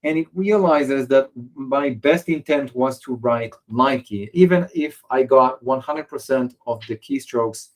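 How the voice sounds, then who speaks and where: Man demoing software, halting. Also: medium pitch, low-quality recording. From Israel. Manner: hesitant, many fillers and restarts. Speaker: software presenter. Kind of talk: software demo walkthrough.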